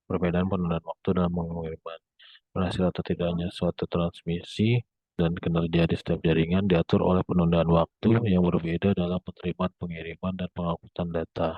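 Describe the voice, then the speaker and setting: A young man reading a scripted academic presentation as a student, in a monotone with occasional stumbles. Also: low-pitched, a little fast, dry recording. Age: young adult. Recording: muffled phone-like narrow band sound.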